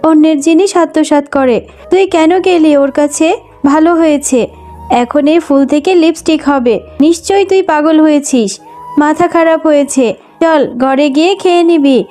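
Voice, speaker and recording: A woman's voice: high voice, normal speed, warm tone, soft background music, natural voice. A woman voicing a scolding, hard-working mother fox in a children's cartoon, with a nagging, motherly manner.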